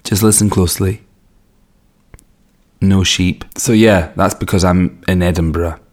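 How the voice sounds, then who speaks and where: A man with a slightly raspy, worn throat hosts a podcast in a casual, rambling way with wry, self-mocking asides. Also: low-pitched, steady tone, measured pace. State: strained throat, losing his voice. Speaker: podcast host and record label owner. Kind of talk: casual solo podcast introduction.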